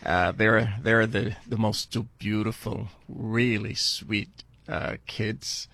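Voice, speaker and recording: An older man from Barbados, a radio guest telling his life story in an earnest, halting way. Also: lowish voice, steady tone, vocal fry, sharp tone, no echo. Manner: earnest, halting with fillers and restarts.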